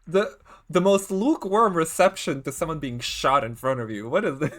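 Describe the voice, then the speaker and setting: A man's voice: a little high, moderate pace. A man giving casual, rambling live commentary as he streams a game playthrough. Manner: rambling, animated reactions. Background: faint game music.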